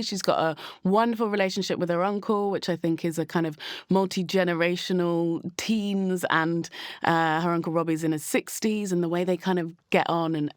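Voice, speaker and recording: A woman's voice: fairly low pitch, no room sound, clean studio sound. A female actor talking appreciatively in an interview, thinking aloud as she describes things. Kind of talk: interview talk.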